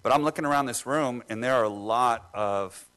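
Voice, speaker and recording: A man speaks without a script at a public meeting. His voice is medium in pitch, and he talks as an official addressing colleagues. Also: normal speed, a bit bright. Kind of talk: unscripted meeting remarks.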